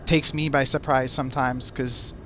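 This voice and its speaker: A man's voice: medium pitch, level tone, normal speed, a bit bright, slight background noise. A young American man hosting a casual podcast chat, curious and thinking aloud with lots of "like". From United States. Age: young adult. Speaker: host.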